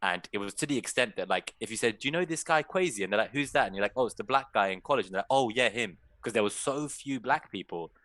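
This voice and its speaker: Deep, bright-toned young man, earnest. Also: lively intonation, fast, few pauses, no room sound. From Iran. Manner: earnest, reflective. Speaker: guest panelist. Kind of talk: personal storytelling in panel chat.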